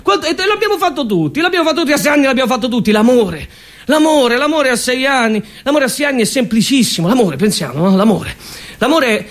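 Animated, high-pitched Roman male comic performer. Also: fast talker. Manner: repetitive, emphatic. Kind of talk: theatrical comic monologue with acted dialogue.

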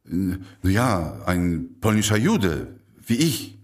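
Gentle doctor, low voice, expressive pitch, polite, stammering, radio play. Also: male voice, slow, distant mic, exaggerated voice. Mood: cautious. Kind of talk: radio drama acting.

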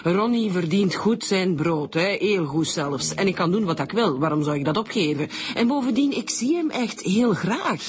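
An actress playing a brash, evasive married suspect in a radio drama, with a Flemish accent and a defiant manner. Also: female voice, medium pitch, expressive, normal speed, few pauses, background noise, natural voice. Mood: confiding. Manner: defiant, swearing.

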